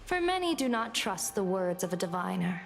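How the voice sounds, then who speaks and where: A woman voicing a shrewd, composed diviner in an anime game, measured and formal as she probes. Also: medium pitch, very animated, vocal fry. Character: composed, shrewd female diviner, coolly interrogating.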